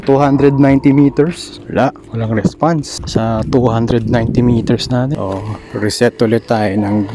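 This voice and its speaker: A man's voice: mid-range voice, fairly even intonation, a little slow, few pauses, background noise. A man with a Filipino accent talking casually on a walking vlog, breaking off to make radio check-ins on a walkie-talkie. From Philippines. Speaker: vlogger testing walkie-talkies. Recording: loud music over the voice. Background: loud music.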